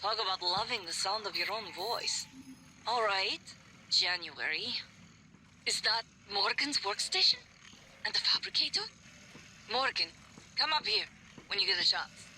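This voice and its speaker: An actor playing a wary, sardonic chief engineer in a video game, curt and commanding. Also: male voice, high-pitched, expressive, unhurried, long pauses, sharp tone, slight background noise, exaggerated voice, rough recording.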